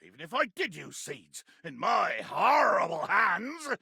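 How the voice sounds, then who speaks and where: Raspy, bombastic pirate captain. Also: male voice, high-pitched, sing-song, unhurried, sharp tone, no room sound, clean studio sound, heavily acted. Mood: indignant, menacing. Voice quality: gravelly, rasping growl. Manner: ranting, theatrical, archaic pirate speech. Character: bombastic, bloodthirsty pirate captain, grown man, theatrical and irascible. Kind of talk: video game character acting.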